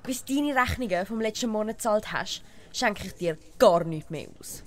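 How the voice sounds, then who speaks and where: A woman plays a no-nonsense village barkeeper in a Swiss German audio comedy. She has a medium-pitched voice and a brisk, talkative way, ready to scold or gossip. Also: expressive, lightly acted. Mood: fed up. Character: brisk, chatty female village tavern keeper. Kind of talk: comic audio drama acting.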